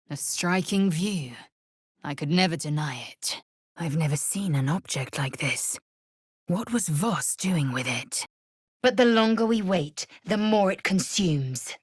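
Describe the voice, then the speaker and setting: A woman voicing a fierce, proud alien warrior woman in a video game, scornful and haughty, with clipped, formal phrasing and a husky, breathy voice. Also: low-pitched, lively intonation, slow pace, no echo, clean studio sound, heavily acted. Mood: measured, proud. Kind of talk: video game character voice lines.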